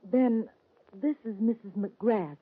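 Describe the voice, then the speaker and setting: An actress playing a friendly, concerned young dressmaker in a radio western. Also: female voice, on the high side, slow, husky. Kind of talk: old-time radio western acting. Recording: thin, narrow vintage radio recording.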